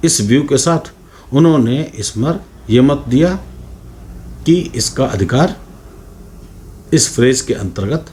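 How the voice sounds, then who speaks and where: An Indian man giving an explanatory law lecture in a deliberate, formal way with frequent pauses. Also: mid-range voice, a little mellow, slight background noise.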